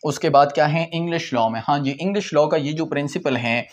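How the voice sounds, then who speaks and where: A man's voice: a little high, a little fast. Young Pakistani man giving an explanatory lecture. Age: 20s. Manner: explanatory, mixes in English legal terms. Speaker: law lecturer. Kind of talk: informal whiteboard lecture. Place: small indoor room with whiteboard.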